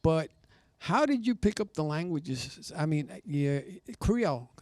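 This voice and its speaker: A man's voice: fairly high pitch, big pitch swings, measured pace, darkish tone, no room sound. A man hosting a cable access variety show, chatting casually with a guest and cracking boastful jokes. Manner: joking, boastful banter. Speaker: host. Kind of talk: casual chat. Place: studio.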